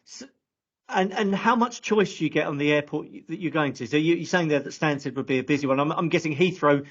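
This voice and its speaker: Male webinar host, fairly high voice, conversational and slightly tentative interviewer, muffled lo-fi video call sound. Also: a little fast, slightly rough. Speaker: host of a live careers webinar. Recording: dull lo-fi online call audio.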